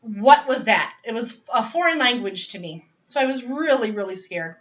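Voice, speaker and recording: A woman's voice: a little high, animated, moderate pace, distant mic, lo-fi sound. A woman in her forties telling her story of going back to school in a casual, chatty way with frequent laughs. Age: 40s. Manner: chatty, laughs often, restarts phrases. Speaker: student giving a speech. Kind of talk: personal narrative speech. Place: home dining area.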